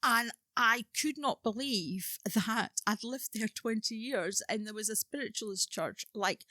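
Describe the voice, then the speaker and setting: A woman in her fifties, a podcast guest telling her story in relaxed, casual chat. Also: on the high side, measured pace, no echo, studio quality. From Scotland.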